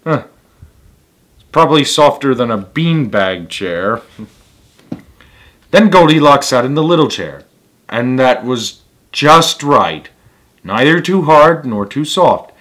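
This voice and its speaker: Slow-paced, animated male storyteller. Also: mid-range voice. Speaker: storyteller and host. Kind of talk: children's story read-aloud with casual asides.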